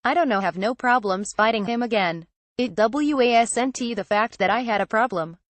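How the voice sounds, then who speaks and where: A woman's voice: fairly high pitch, normal speed, dry recording. Synthetic female narrator, very dry sound. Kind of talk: scripted reading of a transcript.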